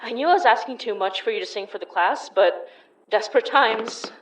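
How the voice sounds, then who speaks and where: A woman playing a calm, wry paranormal investigator who narrates the story, in an audio horror drama. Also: on the high side, brisk, slight background noise, lightly acted, poor audio.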